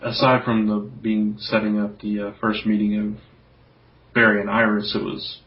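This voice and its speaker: Male co-host, lower register, informal conversational opinions, dull lo-fi podcast audio. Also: monotone, moderate pace. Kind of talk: casual chat, opinions on comics.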